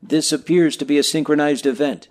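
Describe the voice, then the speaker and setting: A man's voice: a little high, steady tone, brisk, lightly acted. An American man acting in an audio drama as an earnest news anchor, formal, urgent and awed in breaking-news delivery. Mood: grave, urgent. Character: earnest male TV news anchor covering an invasion.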